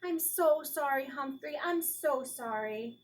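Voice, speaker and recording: An earnest young girl from a storybook, acted out by a woman reading aloud. Her voice is high, and she sounds caring, with a firm streak. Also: takes it slowly. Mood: sorry, tender.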